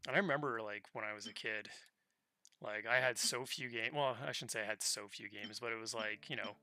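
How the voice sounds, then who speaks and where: A man's voice: fairly low pitch, fairly even intonation, brisk, sharp tone, a little hiss or hum. A man livestreaming a game, chatting casually in an easygoing, slangy, conversational way. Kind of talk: casual chat while gaming. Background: game music.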